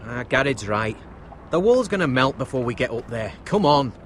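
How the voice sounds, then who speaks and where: An actor playing a curious, easygoing young brother-in-arms in a fantasy game, casual and inquisitive. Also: male voice, mid-range voice, very animated, normal speed, a little hiss or hum, natural voice. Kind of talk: dramatic fantasy game acting.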